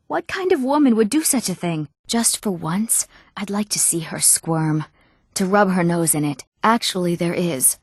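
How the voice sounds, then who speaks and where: A woman playing an eager, earnest young townswoman in a fantasy video game, with expressive, clearly enunciated delivery. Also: mid-range voice, no room sound, clean studio sound. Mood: scandalized, gossipy, then scheming, spiteful. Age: young adult. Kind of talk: scripted fantasy game character dialogue.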